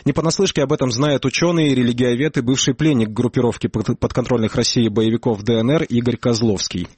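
A man narrating a news report in a measured voice, with an occasional hesitation between phrases. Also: mid-range voice, fairly even intonation, a little mellow, husky, dry recording. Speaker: news correspondent.

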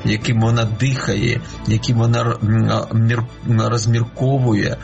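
Man, interviewee, speaks slowly and hesitantly, gravelly voice, soft music behind. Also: medium pitch, flat delivery, lo-fi sound. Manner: thoughtful, halting, searching for words. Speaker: scholar interviewee. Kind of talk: reflective interview answer.

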